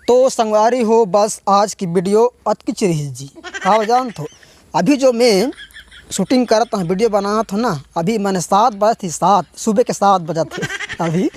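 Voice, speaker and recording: A male stand-up comedian with a Chhattisgarhi accent and a hoarse throat, chatting to viewers and laughing often between lines. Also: sits high, darkish tone, slight background noise. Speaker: stand-up comedian and channel host. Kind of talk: casual stand-up comedy monologue.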